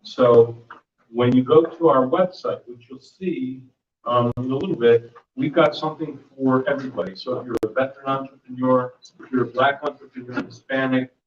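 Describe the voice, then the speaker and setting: Man, booming presenter at a workshop, rambling delivery, distant mic in a reverberant meeting room. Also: steady tone, moderate pace. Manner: loose, rambling delivery with many fillers and restarts. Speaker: volunteer mentor presenting a workshop. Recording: dull, echoey room audio from far off.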